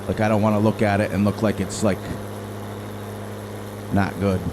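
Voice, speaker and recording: A middle-aged man with a loud voice, rambling and thinking aloud as he comments casually on his gameplay into a desk microphone. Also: on the low side, flat delivery, moderate pace. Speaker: gaming channel host. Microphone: desk microphone turned down low. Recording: game engine noise behind.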